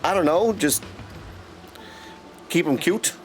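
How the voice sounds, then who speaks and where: A man's voice: a little high, lively intonation, slow, a little hiss or hum. An exuberant, slangy man chatting casually with viewers as he livestreams and comments on a game. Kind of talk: casual live stream chat and game commentary. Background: game music.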